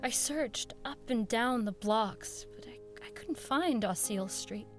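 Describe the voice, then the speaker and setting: An actress playing a haunted, grieving woman who recounts an eerie horror in halting, intense radio drama narration. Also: a little high. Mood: weary, wistful. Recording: music under the voice. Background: music.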